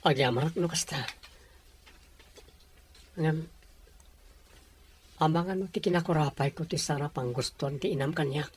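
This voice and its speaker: A poor young Filipino sweetheart, played by a male actor, speaks in a noticeably husky voice. He is humble, sincere and respectful, earnest in a radio drama romance. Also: fairly high pitch, moderate pace, long pauses. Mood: hurt, determined. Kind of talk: Ilocano radio drama acting.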